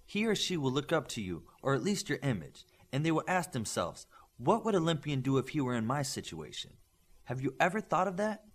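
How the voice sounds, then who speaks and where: A male narrator plays a stern mentor of young superheroes in an audiobook. He lectures firmly and with principle, leaning on rhetorical questions, his mid-pitched voice rising and falling emphatically. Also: very animated, fairly quick. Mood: stern, lecturing. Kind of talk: audiobook character dialogue.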